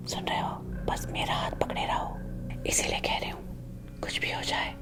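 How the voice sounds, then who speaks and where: A woman whispering as a protective, centuries-old female vampire girlfriend in an ASMR roleplay, hushed and intimate, close to a binaural mic. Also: moderate pace, natural voice. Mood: urgent, protective.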